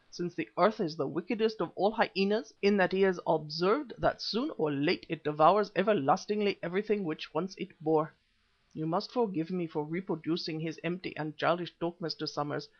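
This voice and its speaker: Guy doing a prim snooty translator man from an old adventure book, highish voice, wordy, bit lo-fi. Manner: pompous, wordy, formal. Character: prim, fussy male interpreter, disdainful and apologetic. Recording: slightly dull, lo-fi audio.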